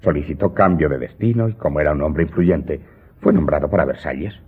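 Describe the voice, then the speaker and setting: A man acting in a radio drama as a vengeful Corsican servant confessing to his master, telling his tale deliberately and dramatically with emphatic outbursts. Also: rumbling low, husky. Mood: tense recounting. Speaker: voice actor in an audio drama. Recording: dull, muffled audio with loud music underneath.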